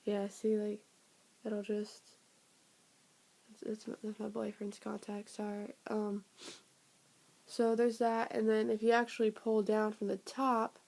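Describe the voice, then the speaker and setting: A young woman reviewing a phone software update in a rambling, casual way, her voice raspy and sniffly from a cold. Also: mid-range voice, monotone, slow, long pauses, poor audio. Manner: rambling, filler-heavy, trailing off. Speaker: tech vlogger.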